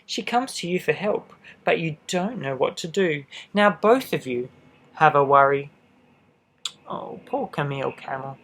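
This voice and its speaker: A man's voice: on the high side, a little slow. Man reading a children's picture book aloud. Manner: expressive, with gentle asides to young listeners. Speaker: storybook reader.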